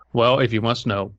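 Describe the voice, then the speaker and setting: Man, podcast co-host, average pitch, casual, wry, quipping. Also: no echo, highs cut off. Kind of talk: casual comedic podcast banter.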